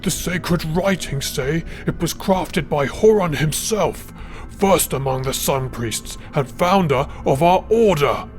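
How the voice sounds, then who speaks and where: Man acting a high-voiced, pious, outraged priest. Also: expressive, slow, faint music behind. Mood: outraged, offended. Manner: stammering, exclamatory. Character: pious, hunched male sun priest, fearful, easily outraged.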